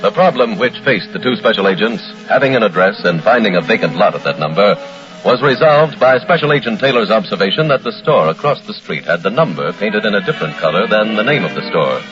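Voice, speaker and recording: A man narrating a vintage radio crime show in a formal, measured, dramatic scripted style. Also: lowish voice, sing-song. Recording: muffled old radio broadcast with music.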